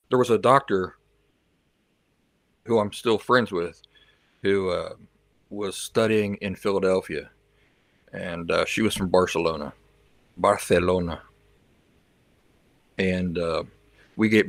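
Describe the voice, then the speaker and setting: A man's voice: sits low, slow pace, pauses often, no room sound. A man hosting a livestream, chatting casually with viewers and reacting to their comments, sometimes switching into learner's Spanish. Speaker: livestream host.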